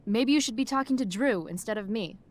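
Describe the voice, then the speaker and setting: A woman's voice: fairly high pitch, animated, fairly quick, lightly acted. A woman playing a rebellious teenage schoolgirl in a video game, with a blunt, slangy, sarcastic way of talking. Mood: defiant, indignant. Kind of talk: naturalistic video game acting.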